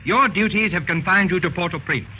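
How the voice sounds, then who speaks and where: An excitable young man from a 1930s radio melodrama, played by a male actor. His voice is high, urgent and earnest, full of superstitious dread. It comes through a tinny recording thick with noise. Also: a little fast, lightly acted. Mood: insistent.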